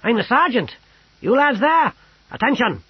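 A man voicing Mealy, a one-eared sergeant disguised as a cook, who shouts commands. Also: sits high, lively intonation, normal speed, creaky, husky, dry recording, lo-fi sound, pitched above the performer's own voice, exaggerated voice. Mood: commanding. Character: gruff one-eared soldier posing as cook.

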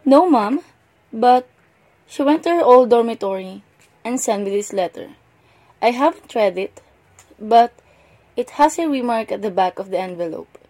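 A woman's voice: a little high, animated, moderate pace, long pauses, warm tone. A woman playing a subdued, hesitant daughter in a radio drama. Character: subdued daughter reporting on her mother's letter.

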